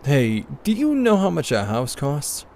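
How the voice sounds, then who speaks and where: A man playing a gruff, aloof adult guardian in a manga dub drama. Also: a little high, wildly swooping pitch, unhurried, darkish tone, a little hiss or hum, exaggerated voice. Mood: aloof, resigned. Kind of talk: anime-style manga dub acting.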